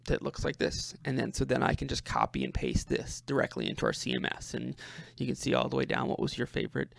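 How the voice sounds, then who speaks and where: Gravelly-voiced man giving a halting walkthrough. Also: medium pitch, sing-song, no room sound. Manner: halting, thinking aloud, repeats words. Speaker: presenter demonstrating a personal coding project. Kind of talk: informal screen-share walkthrough.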